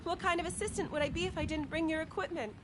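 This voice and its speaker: A woman voicing a brisk, bossy but loyal detective's assistant in a video game, prim and chiding. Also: very high voice, fairly even intonation, music in the background. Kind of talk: video game character acting.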